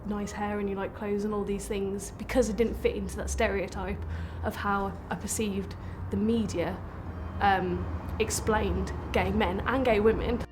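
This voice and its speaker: Young female interviewee, mid-pitched and flat in intonation, casual testimony over clear background noise. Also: measured pace. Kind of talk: personal testimony in casual speech. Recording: clear ambient noise behind.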